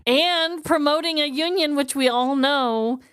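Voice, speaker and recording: A woman's voice: high voice, unhurried, fairly bright tone, no room sound, studio quality. An American woman co-hosting a comedy podcast, chatting casually with playful banter. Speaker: podcast co-host.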